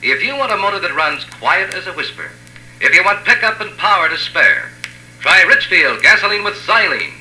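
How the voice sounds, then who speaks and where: A man's voice: high-pitched, sing-song, normal speed, bright, forceful tone. A man announcing a 1950s radio commercial with an emphatic, polished sales delivery. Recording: old radio broadcast, boxy, echoey.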